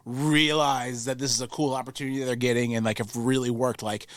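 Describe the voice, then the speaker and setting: Male studio engineer as interview guest, mid-pitched, enthusiastic, rambling and sweary, very dry sound. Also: steady tone, a little fast, no echo. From New Orleans, Louisiana. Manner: rambling, enthusiastic, casually profane. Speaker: podcast guest, recording engineer and producer. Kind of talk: casual interview chat.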